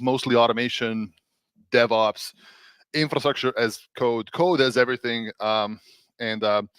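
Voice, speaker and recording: A man from Serbia hosting a casual tech livestream, animated and laughing often, with many restarts and fillers. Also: mid-range voice, dry recording. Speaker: host, developer advocate.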